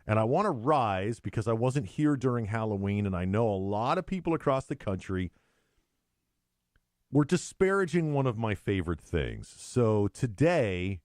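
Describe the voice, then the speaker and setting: A lower-pitched man with a lively, rising and falling delivery hosts a casual podcast, cracking sarcastic jokes and spinning animated stories. His voice sounds close and dry, with no room echo around it. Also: moderate pace. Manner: joking, sarcastic, animated storytelling. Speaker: podcast co-host.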